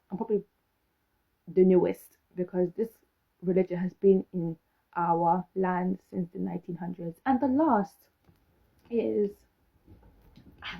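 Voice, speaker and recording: A young woman with a British accent talks straight to her viewers, sassy and sarcastic, throwing out one rhetorical question after another. Her voice has a husky, breathy edge. The recording sounds a little dull and muffled. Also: medium pitch. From Britain. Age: young adult. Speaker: vlogger. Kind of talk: casual talk to camera.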